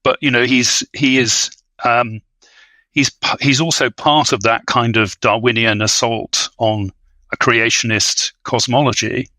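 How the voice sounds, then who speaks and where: A man speaking as an expert podcast guest in a halting, scholarly style of academic discussion. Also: medium pitch, steady tone, pushed and bright, no echo, rough recording.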